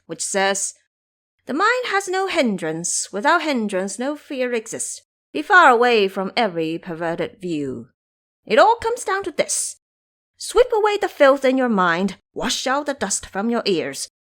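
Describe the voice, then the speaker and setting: A woman's voice: a little high, very animated, unhurried, a bit bright. A woman voicing a bold, cocky male monkey disciple in an audio drama, boastful and fond of lecturing. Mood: confident, preachy. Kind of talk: audio drama character acting.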